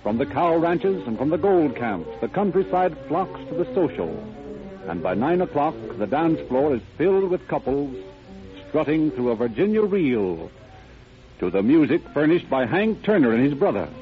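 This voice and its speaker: Over music on a tinny vintage radio recording, an American man narrates a drama. His delivery is formal and theatrical, with animated rises and falls. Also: fairly high pitch. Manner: formal, measured storytelling. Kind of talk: dramatic scripted old-time radio narration.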